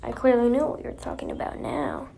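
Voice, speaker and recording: A woman acting out dialogue for an amateur animated story, dramatic and sometimes restarting her scripted lines. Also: a little high, a little fast, creaky, background noise.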